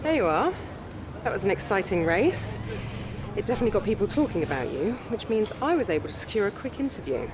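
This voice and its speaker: A woman's voice: mid-range voice, big pitch swings, fast, crowd noise, noisy background, close to an everyday voice. An actress playing a composed female press officer who coaches a racing driver in a video game cutscene. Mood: composed, cautioning.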